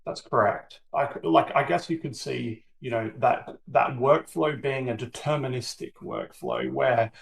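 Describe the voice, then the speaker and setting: A man's voice: mid-range voice, unhurried, creaky, hardly any pauses. A man speaking as a webinar panelist, thoughtful and hedging with fillers as he explains. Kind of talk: business webinar discussion.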